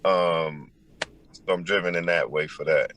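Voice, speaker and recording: Deep-voiced man, slow and thoughtful. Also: fairly even intonation, fairly bright tone, slight background noise. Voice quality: deep, powerful voice. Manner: thoughtful, pauses with many ums. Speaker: musician guest. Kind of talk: casual interview answers.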